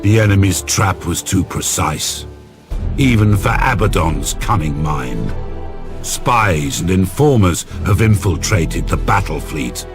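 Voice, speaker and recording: A grim, fanatical Inquisitor of a far-future empire for a game cutscene. The voice is deep and gravelly, delivered slowly and with ominous solemnity. Music plays prominently behind it. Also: male voice, expressive, exaggerated voice. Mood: stern, resolute. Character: grim, zealous imperial Inquisitor, a man obsessed with heresy. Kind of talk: dramatic sci-fi game cutscene acting.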